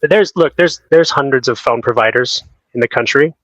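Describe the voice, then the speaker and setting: A man in sales, a guest on a casual podcast, laid-back and quick to laugh. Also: mid-range voice, a bit bright, no echo, muffled recording.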